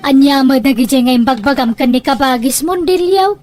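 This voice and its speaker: A woman's voice: sits high, lively intonation, fairly quick, darkish tone, soft background music. A woman playing a blunt, nagging older mother of a bride in an Ilocano family drama. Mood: scolding.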